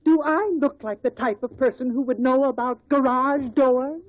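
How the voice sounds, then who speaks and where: Tart, high-pitched mother-in-law played by a woman. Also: normal speed, a little hiss or hum, natural voice. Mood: defiant, bitter. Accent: American. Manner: snappish, blunt. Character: tart, defiant older mother-in-law on the witness stand. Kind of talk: 1950s American radio drama acting. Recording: dull lo-fi 1950s radio recording.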